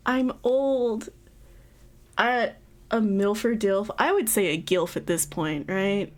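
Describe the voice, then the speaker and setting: A young woman chatting casually on a livestream, giggling often and rambling through restarts. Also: mid-range voice. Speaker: streamer. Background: faint background music.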